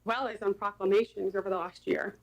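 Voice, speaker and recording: A woman making a public comment at a council meeting, speaking in a measured, pointed and sarcastic way. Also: medium pitch, steady tone, poor audio.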